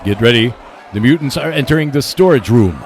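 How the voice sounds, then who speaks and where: A man's voice: mid-range voice, animated, fairly quick, close to an everyday voice. Man acting as an urgent, swooping battle lookout. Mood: alarmed. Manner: urgent, dramatic. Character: tense male human defender shouting urgent battle reports. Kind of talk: radio play acting.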